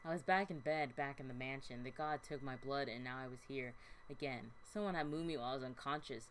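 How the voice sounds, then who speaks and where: A woman's voice: rumbling low, quick pace, a little hiss or hum, poor audio. A woman co-hosting a let's play, switching between casual, sweary banter and theatrical reading of game text. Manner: swearing, playful, theatrical when reading aloud.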